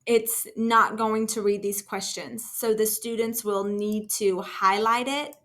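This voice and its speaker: A woman talking through a casual screen-share software tutorial as a trainer, in an informal, enthusiastic and encouraging way. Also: mid-range voice, fairly even intonation, slow pace. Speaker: school district technology trainer.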